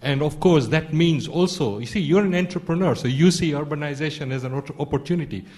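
A man's voice: on the high side, quick pace, darkish tone. A man from Kenya in the audience, earnestly asking a pointed question.

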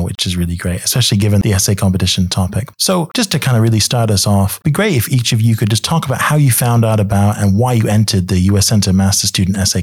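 A man's voice: fairly low pitch, darkish tone, husky, dry recording, studio quality. A man hosting a podcast, giving scripted introductions and interview questions. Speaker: podcast host.

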